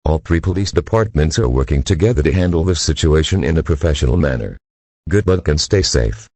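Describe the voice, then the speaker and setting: A man reading a scripted emergency broadcast announcement in a formal, flat, synthetic-sounding manner. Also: extremely low pitch, brisk, husky, dry recording.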